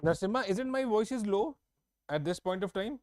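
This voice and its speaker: A man, his voice sitting a little high, teaches math over his own microphone. He works through each step slowly, says key points twice and keeps asking his class whether they follow. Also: animated, a little mellow. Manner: explains step by step, repeats and checks with students. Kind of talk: online exam-prep class. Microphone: own microphone, online class.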